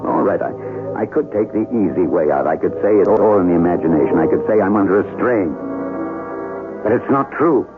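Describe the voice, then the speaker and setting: Troubled, expressive radio drama chemist. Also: male voice, sits low, a little fast. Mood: haunted, anxious. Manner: courtly, earnest, theatrical. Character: troubled, courteous male chemist haunted by his past. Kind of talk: 1970s radio drama acting with brooding monologue. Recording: dull vintage radio recording, music behind.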